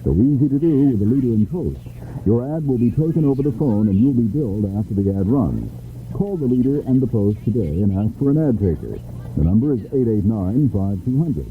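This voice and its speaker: A male announcer reads a scripted local radio ad with an animated, varied delivery. His medium-pitched voice comes through a narrow, tinny vintage broadcast with faint noise. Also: a little fast.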